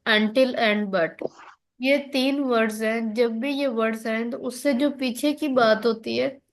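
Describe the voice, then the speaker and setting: A Pakistani woman with a fairly high voice teaches law in an online class. She explains in an instructional way, mixing in English legal terms, and often checks that her students are following. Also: fairly even intonation, slow pace, a little hiss or hum. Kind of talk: online lecture, explaining and reading out legal articles.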